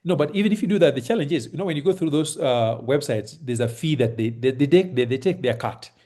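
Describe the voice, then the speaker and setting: A Kenyan man with a Kenyan accent giving relaxed, reassuring advice on a video call, with lots of fillers and restarts. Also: medium pitch, quick pace. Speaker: host advising on moving to Kenya. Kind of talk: casual advice chat on a call. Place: home in Kenya.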